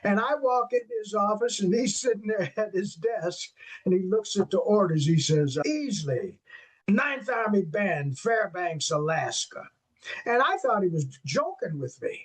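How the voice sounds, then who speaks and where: A man's voice: high voice, measured pace, husky. An older man from western New York, a jazz saxophonist, telling stories in an interview and acting out the dialogue. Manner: animated, acts out quoted dialogue, peppers speech with "man". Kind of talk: storytelling in an interview.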